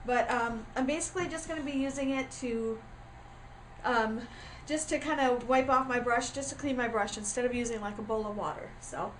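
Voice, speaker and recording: A woman's voice: on the high side, a little hiss or hum, low-quality recording. A woman with a stuffy, congested voice from a starting cold, giving a casual craft tutorial, chatty and full of ums. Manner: chatty with frequent ums and uhs. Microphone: camera mic.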